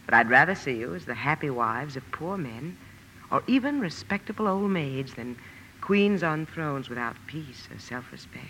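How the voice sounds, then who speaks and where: A woman's voice: low-pitched, big pitch swings, moderate pace, a bit bright, a little hiss or hum, heavily acted, poor audio. An actress playing a loving, wise middle-aged mother, speaking tenderly and earnestly in old-time radio drama style. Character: gentle, wise, loving mother of grown daughters. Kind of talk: 1940s-50s radio drama acting. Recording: vintage radio broadcast recording.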